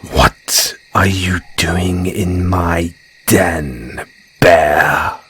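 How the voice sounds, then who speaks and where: A man acts out an obsessively devoted, dangerous male werewolf for an audio roleplay. His delivery is intense and possessive in a low voice. Faint night insects can be heard behind him. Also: steady tone, drawn-out words, fairly bright tone, slight background noise, exaggerated voice. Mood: menacing.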